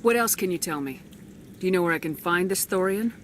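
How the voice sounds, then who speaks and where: A woman's voice: fairly low pitch, lively intonation, brisk, a little hiss or hum, natural voice. A woman playing a resolute military commander in a video game, with a direct, businesslike manner. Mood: brisk, probing.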